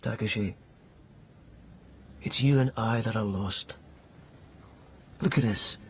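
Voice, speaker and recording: An actor playing a captive lieutenant in a radio drama, weary and earnest, with wry, pleading delivery. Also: male voice, lowish voice, slow pace, a little hiss or hum. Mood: bleak, earnest. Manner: wry, pleading with an old friend.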